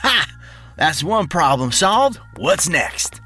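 A man's voice: mid-range voice, big pitch swings, a little slow, a bit bright, faint music behind, pitched above the performer's own voice. A man voicing a cocky, defiant, casual guy in an audiobook, with a cheeky manner. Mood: triumphant. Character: cocky, defiant younger-sounding guy named Jerry.

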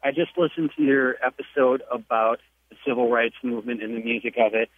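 A young man in his twenties leaves an eager message, rambling a little as he goes. His voice sits at a middle pitch. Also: fairly even intonation, a little fast, phone-quality sound, slight background noise. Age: 20s. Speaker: caller.

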